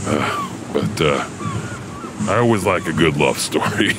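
A man playing a mysterious janitor who hosts a horror anthology, telling ghost stories with brooding, halting pauses. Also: very deep voice, lively intonation, background noise. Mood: hesitant. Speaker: host and storyteller of a horror anthology. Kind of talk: scripted ghost-story narration. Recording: music under the voice.

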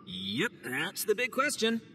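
A man voicing a boisterous young oni gang leader in a game, loud and swaggering. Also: high-pitched, wildly swooping pitch, a little slow, gravelly, noisy background, full character voice. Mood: joking, caring. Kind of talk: game cutscene voice acting.

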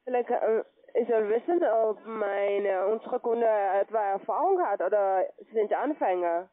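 A woman's voice: mid-range voice, measured pace. A woman with a foreign accent, a non-native speaker, calling as a sales agent. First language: not German. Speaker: call centre sales agent. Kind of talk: phone sales pitch. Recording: tinny phone line.

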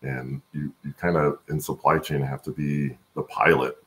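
A middle-aged man with a gravelly voice answering interview questions conversationally on a video call from home, haltingly thinking aloud. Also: very deep voice, level tone, slight background noise. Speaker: guest, supply chain expert and voice-over announcer.

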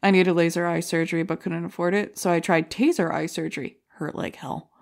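A woman's voice: fairly low pitch, steady tone, fairly quick, creaky. Brash, self-deprecating stand-up comedienne played by a woman. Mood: wry, playful. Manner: jokey, rhetorical, punchline-driven delivery. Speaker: comedy contest contestant. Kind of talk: stand-up comedy routine in an audio drama.

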